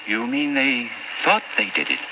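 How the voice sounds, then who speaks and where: An actor playing a timid, guilt-ridden old man in an old-time radio drama, halting, anxious and pleading. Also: medium pitch, big pitch swings, natural voice. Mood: troubled, despairing. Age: older. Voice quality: halting, stammering. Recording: muffled, noisy old-time radio recording.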